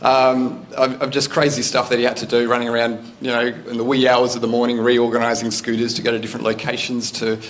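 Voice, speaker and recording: An Australian man hosting a startup meetup, making relaxed, chatty announcements to a live audience in a room. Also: mid-range voice, quick pace, hardly any pauses, slight background noise. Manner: chatty, relaxed, loose with fillers. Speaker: event host. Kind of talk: casual event announcements.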